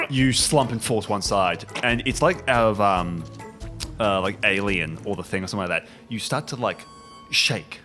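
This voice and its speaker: A man with very lively, swinging intonation narrates a comic tabletop game over background music. He is the game master, excitable and halting as he improvises, and he throws in vocal sound effects. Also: lowish voice.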